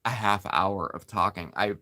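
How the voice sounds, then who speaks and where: A man co-hosting a casual live stream from a living-room love seat, talking in rambling bursts and laughing often. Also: deep voice. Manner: rambling, animated, quick to laugh. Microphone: phone.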